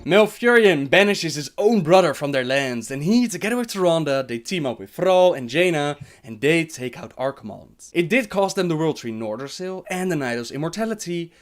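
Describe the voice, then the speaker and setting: Animated higher-voiced male lore narrator.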